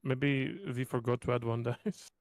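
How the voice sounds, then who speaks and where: A man's voice: medium pitch, level tone, measured pace, no echo, studio quality. A man chatting casually as a player in a tabletop roleplaying game.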